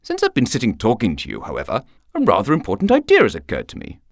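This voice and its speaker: A man voicing a casual, slightly sheepish young English gentleman in a story, offhand and lightly bemused. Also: mid-range voice, wildly swooping pitch, quick pace, dry recording, studio quality.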